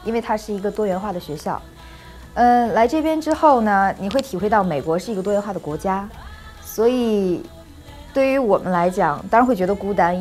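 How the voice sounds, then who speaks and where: A woman, an international student, giving an unscripted, thoughtful interview answer with pauses and fillers. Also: medium pitch, a little mellow.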